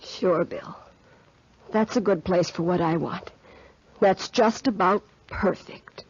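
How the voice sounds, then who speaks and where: A woman's voice: fairly low pitch, takes it slowly, slight background noise. An actress playing a desperate, lovesick working-class young woman in a 1940s radio melodrama, pleading in slangy American speech. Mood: urgent pleading. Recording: muffled, band-limited 1940s radio recording.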